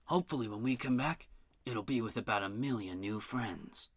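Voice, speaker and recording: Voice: mid-range voice, normal speed, husky, dry recording, studio quality. A narrator voicing a kind, resolved hobo who talks in a folksy drawl. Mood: resolute.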